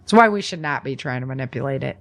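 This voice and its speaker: A woman's voice: extremely low pitch, fast talker. Casual, folksy woman podcast host. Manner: animated, folksy, informal asides. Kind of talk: casual news chat to listeners.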